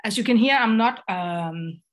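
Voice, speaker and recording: A woman's voice: mid-range voice, a little slow. A middle-aged woman from Denmark with a Danish accent, giving a video-call lecture on design, hesitant with many fillers and restarts. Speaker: graphic designer and educator. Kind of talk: online presentation lecture.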